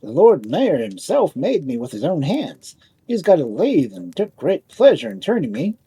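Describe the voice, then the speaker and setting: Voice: mid-range voice, huge pitch swings, moderate pace, mellow, slightly rough, exaggerated voice. A storyteller voicing a boastful, lovesick toy spinning top in a fairy tale, earnest and self-important. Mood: eager, boastful.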